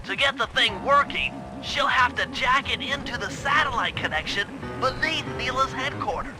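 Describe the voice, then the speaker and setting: A man's voice: very high voice, expressive, moderate pace, poor audio. A man voicing a nerdy, fussy young mastermind in a cartoon video game, briefing precisely in a nasal voice. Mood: businesslike. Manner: precise, wordy mission briefing. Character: nerdy young brainy male gadget mastermind, fussy and eager. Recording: loud music behind the voice.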